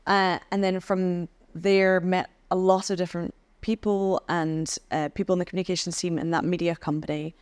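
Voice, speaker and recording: Smooth, thoughtful British-raised woman. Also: on the low side, level tone, normal speed, few pauses, a little mellow, dry recording. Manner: thoughtful, hesitant with many ums and restarts. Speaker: podcast guest, head of communications. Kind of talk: casual interview chat.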